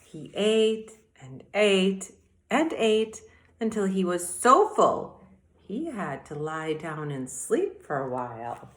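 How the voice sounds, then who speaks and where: A woman teacher reading aloud and explaining to young children in a deliberate, expressive way, speaking to them directly. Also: medium pitch, a little hiss or hum. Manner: deliberate, addresses children directly with questions and asides. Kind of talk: expressive children's read-aloud and mini lesson.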